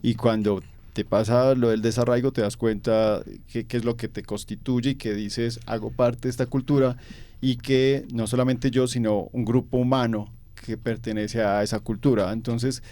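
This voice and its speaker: A man's voice: medium pitch, little pitch movement, moderate pace, dark tone. A young Colombian man with a paisa accent, giving thoughtful interview answers full of fillers and repeated words. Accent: Colombian paisa Spanish. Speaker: interview guest. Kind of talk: reflective conversational interview answers.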